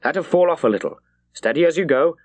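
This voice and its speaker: A man voicing a curt, decisive British naval captain, barking crisp orders in an audiobook. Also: fairly high pitch, expressive, fast talker, a little mellow, voice pushed up. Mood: commanding. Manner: barks crisp orders, clipped and urgent. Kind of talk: dramatised audiobook character.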